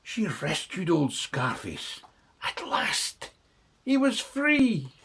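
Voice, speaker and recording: A man's voice: fairly high pitch, wildly swooping pitch, drawn-out words, fairly bright tone, husky. A man reading a children's bedtime story in rhythmic verse with dramatic delivery.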